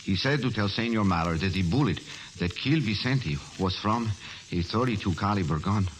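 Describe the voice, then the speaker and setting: A man's voice: fairly low pitch, animated, moderate pace, close to an everyday voice, low-quality recording. A man playing a meek, earnest young villager in 1940s radio drama, polite and apologetic.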